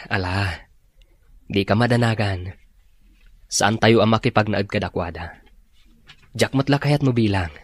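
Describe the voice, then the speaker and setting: An actor playing an easygoing, reassuring husband in an Ilocano radio drama. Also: male voice, on the low side, a little slow, long pauses, no room sound. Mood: calm, reassuring.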